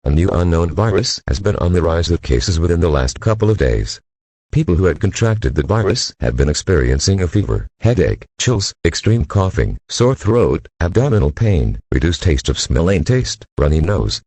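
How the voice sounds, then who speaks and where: A man performs a male news anchor with an extremely deep, husky voice. He delivers a formal, measured emergency bulletin in a grave manner. The sound is dry, with no room around it. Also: big pitch swings, a little mellow, no echo, exaggerated voice.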